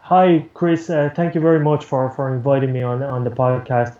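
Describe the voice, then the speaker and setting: A man's voice: medium pitch, little pitch movement, normal speed, mellow. Roomy, muffled Irish man in interview. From Ireland. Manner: rambling, repeats words as he goes. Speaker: interview guest, news agency producer. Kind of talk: casual interview talk.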